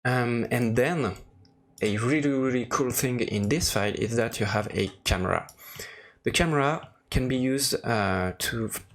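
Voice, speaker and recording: A man who speaks English as a second language, presenting an informal software demo in a halting, hesitant way with frequent pauses. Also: mid-range voice. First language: non-native English speaker.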